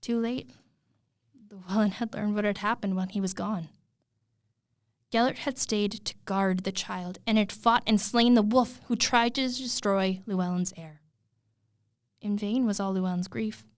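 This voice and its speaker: A woman retelling a fairy tale as a storyteller, in a dramatic, expressive way with suspenseful pauses. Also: mid-range voice, no echo, clean studio sound.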